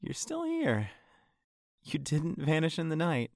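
A man's voice: on the high side, wildly swooping pitch, a little slow, vocal fry, darkish tone, no echo, clean studio sound, exaggerated voice. An actor playing an earnest, insecure young man in an audio drama, speaking hesitantly and wearing his heart on his sleeve. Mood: smitten, flustered. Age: young adult.

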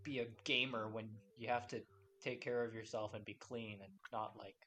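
A man's voice: lowish voice, fairly even intonation, normal speed, bright, forceful tone. A man hosting a podcast in a casual chat, rambling and playful with lots of restarts. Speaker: podcast host.

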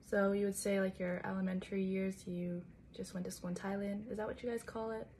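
A woman's voice: mid-range voice, level tone, brisk, warm tone, a little hiss or hum. A young woman interviewing a fellow college student and asking curious, friendly follow-up questions in a casual way. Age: young adult.

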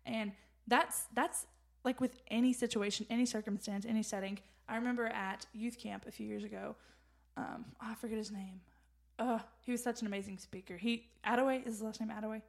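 A young woman with a fairly high voice chats casually on a faith podcast. She rambles, circles back and fills gaps with "like" and "um" as she talks. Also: steady tone, a little fast, gravelly, few pauses. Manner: rambling, many fillers and restarts. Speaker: podcast co-host.

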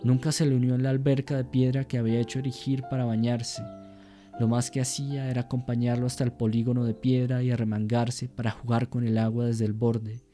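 A man narrating a literary radio drama in a measured, solemn, descriptive manner. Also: mid-range voice, flat delivery, dark tone, soft background music.

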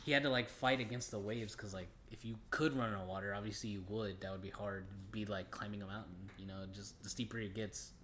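Podcast co-host, young man, lowish pitch, agreeable casual chat, a little noise behind him. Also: steady tone, fairly quick, few pauses, a bit bright.

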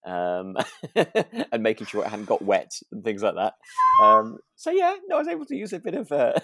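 A man's voice: lowish voice, big pitch swings, moderate pace, background noise. A British man co-hosting a casual podcast about a radio drama, speaking hesitantly with chuckles as he talks. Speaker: podcast co-host and actor.

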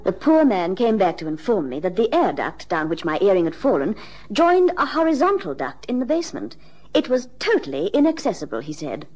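A woman's voice: mid-range voice, very animated, fairly quick, darkish tone, natural voice, low-quality recording. An actress playing a wealthy, frank British married lady of middle age in a radio drama, speaking in a refined, precise and insistent way. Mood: frank, exasperated.

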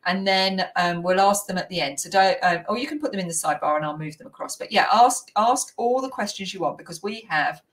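Woman host on a video call, loose and chatty, lower voice, slightly distant from the mic. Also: brisk, few pauses, a bit bright. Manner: rambling, filler-heavy, apologetic. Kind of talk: hosting a live online talk.

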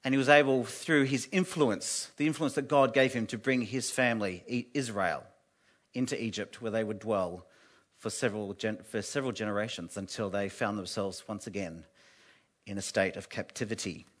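A man with a loud voice, preaching an earnest sermon in a church and dropping to a hush on solemn points. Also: on the low side, normal speed. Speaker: guest preacher from an anti-slavery group.

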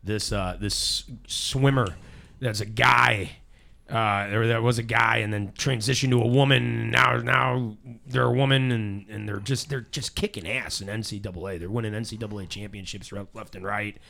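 A man's voice: fairly low pitch, steady tone, moderate pace, creaky, sharp tone. An American man hosting a casual panel talk, blunt and given to swearing. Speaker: podcast host.

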